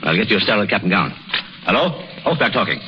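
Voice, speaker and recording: Brisk, low-voiced senior surgeon. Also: male voice, very animated. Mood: firm, challenging. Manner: clipped, authoritative. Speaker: radio drama actor. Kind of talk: 1930s radio acting. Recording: muffled 1930s radio recording, faint noise.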